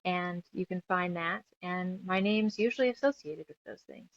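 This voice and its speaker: A woman's voice: lowish voice, normal speed, dry recording. Chatty woman, slightly dull lo-fi sound. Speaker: guest author and musician. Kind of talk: casual interview chat.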